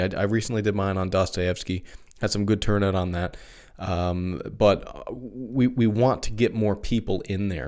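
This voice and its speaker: A man's voice: deep voice, a little mellow. A young adult man hosting a solo podcast, talking casually through his thoughts with frequent restarts and asides. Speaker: podcast host. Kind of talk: solo philosophy podcast monologue.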